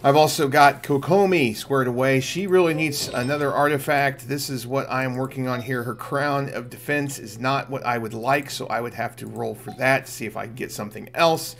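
A man's voice: medium pitch, fairly even intonation, normal speed, few pauses, a bit bright. A middle-aged man giving casual, explanatory livestream commentary on a game, chatting to viewers. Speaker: game streamer, channel host. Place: indoor room at home. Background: game music.

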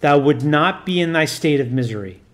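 Stern exorcist priest , read by a man: loud, formal, commanding, a bit high in pitch. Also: animated, normal speed, lightly acted. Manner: loud, commanding, formal old-fashioned speech. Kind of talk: audiobook reading.

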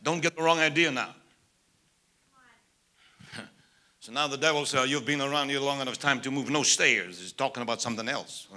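An older man from South Africa, a native Afrikaans speaker with a South African accent, preaching from a church pulpit, moving from soft asides to loud, emphatic preaching. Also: fairly high pitch. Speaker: evangelist. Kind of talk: sermon. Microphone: pulpit mic, medium camera.

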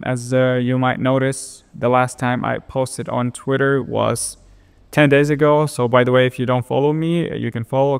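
A young man from Ukraine with a Ukrainian accent, calmly explaining his daily routine in a relaxed screen-share tutorial with fillers. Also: medium pitch, vocal fry, clear, resonant tone, in a large hall. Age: young adult. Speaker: web designer and YouTuber. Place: indoors by a large window.

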